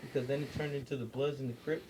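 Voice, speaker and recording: A man's voice: medium pitch, little pitch movement, fast talker, darkish tone, slight background noise, low-quality recording. Loose-talking man in a casual chat. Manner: loose, slangy storytelling with many fillers.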